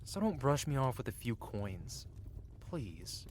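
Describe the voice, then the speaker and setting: Guy doing a flirty cocky blacksmith in a medieval fantasy asmr roleplay, soft-hearted teasing, mid pitch, bit of background noise. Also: sing-song, slow pace. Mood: tender. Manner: teasing, theatrical, with soft hesitations. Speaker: audio roleplay performer. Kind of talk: ASMR roleplay acting, intimate one-sided dialogue.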